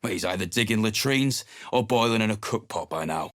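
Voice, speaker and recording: A surly mercenary. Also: male voice, on the low side, lively intonation, a little fast, fairly bright tone, dry recording, clean studio sound. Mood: gruff. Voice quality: gruff, blunt and sarcastic. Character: surly male mercenary adventurer, blunt and weary. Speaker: game character. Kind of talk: video game voice acting.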